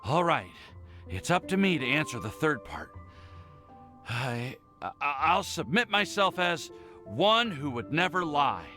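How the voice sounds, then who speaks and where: A lively, bright voice from a humble young man in a video game who speaks modestly and stumbles over his first words. Faint music plays under the scene. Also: on the high side, measured pace, full character voice. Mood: sincere.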